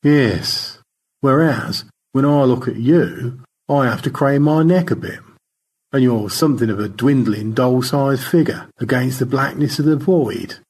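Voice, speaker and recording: A man's voice: mid-range voice, unhurried, a little mellow, breathy edge, voice pushed up. A man voicing an earnest, fussy space crewman in an audio story, speaking in a precise, formal, politely roundabout way. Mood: earnest, awkward. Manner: precise, circumlocutory, hesitantly polite.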